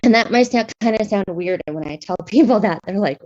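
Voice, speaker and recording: A woman's voice: medium pitch, lively intonation, fairly quick, a little mellow, dull audio. A woman speech pathologist giving a candid, conversational talk at a conference session, with frequent fillers.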